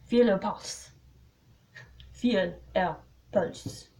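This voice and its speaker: A woman voicing a shrewd, polite elderly male detective with a French accent and formal, deliberate phrasing, in a story reading. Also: mid-range voice, a little mellow, close to an everyday voice, rough recording. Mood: commanding, grave.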